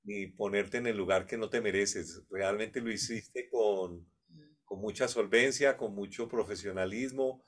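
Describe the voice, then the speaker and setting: A man's voice: fairly low pitch, measured pace. A Colombian man hosting a conference, thanking the speaker in a courteous, effusive way. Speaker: conference host. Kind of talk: formal thanks and praise.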